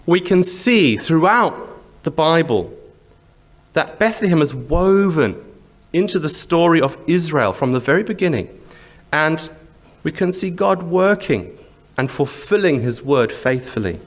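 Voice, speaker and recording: A male preacher with a Zimbabwean accent delivers a sermon in a somewhat high, lively voice that moves freely up and down. He explains things conversationally, posing rhetorical questions and repeating short phrases for emphasis. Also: unhurried. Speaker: church preacher.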